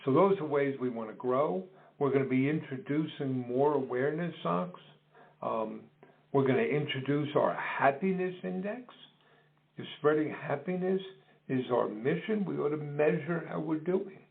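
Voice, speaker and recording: A middle-aged or older man, explaining his business in an interview in measured, deliberate phrases. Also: fairly high pitch, vocal fry. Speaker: business co-founder being interviewed. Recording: dull phone-like call audio.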